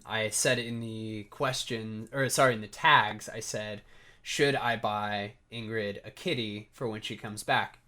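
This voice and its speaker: A man's voice: mid-range voice, a bit bright. A young man with a slightly scratchy voice, vlogging in a casual, storytelling way as he answers viewer questions. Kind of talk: casual chat to camera with storytelling.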